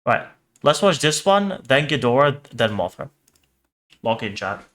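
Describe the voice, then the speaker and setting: A man's voice: medium pitch, very animated, a little slow, a bit bright. A man doing a casual livestream reaction, reading captions aloud and commenting in a joking, irreverent, sweary way. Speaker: streamer reacting to horror videos.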